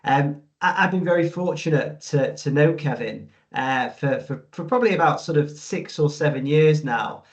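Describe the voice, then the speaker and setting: Male podcast interviewer, slightly high voice, hesitant conversational phrasing, somewhat dull recording. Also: normal speed, few pauses. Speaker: podcast host.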